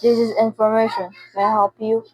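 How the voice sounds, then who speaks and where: A woman's voice: fairly high pitch, little pitch movement, brisk, noisy background, natural voice. A teenage girl acting in a radio drama as a formal, detached female telephone operator with a polite, routine manner.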